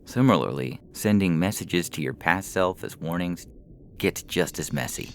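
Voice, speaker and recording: A man reading a literary short story aloud in measured, deliberate phrases with pauses. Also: low voice, lively intonation, slight background noise. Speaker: story reader. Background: faint background music.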